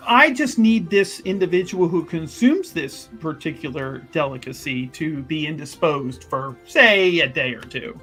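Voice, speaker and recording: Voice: medium pitch, animated, measured pace, few pauses, sharp tone, faint music behind. A person improvising in character at a tabletop game, hesitant and wheedling, often repeating phrases. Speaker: tabletop roleplaying performer.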